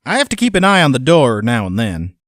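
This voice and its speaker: A man's voice: medium pitch, wildly swooping pitch, brisk, fairly bright tone, dry recording, studio quality. An actor playing a simple, obedient hired hand who reads his journal in a plain-spoken, hesitant way for an audio drama. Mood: dutiful, puzzled.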